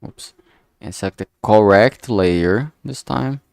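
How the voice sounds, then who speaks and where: A man thinking aloud in a halting way, chatting casually on a solo livestream as he works. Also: on the low side, gravelly, a little mellow, clear, resonant tone, dry recording, clean studio sound. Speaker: streamer making a visual novel. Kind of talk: casual solo livestream chat while working.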